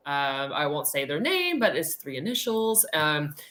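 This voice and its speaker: A middle-aged American woman speaks in a low register with a bright, forceful edge. She tells stories conversationally as a guest and leans on words for emphasis. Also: sing-song, a little slow. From United States. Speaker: podcast guest, recruiter. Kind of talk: casual conversational anecdotes.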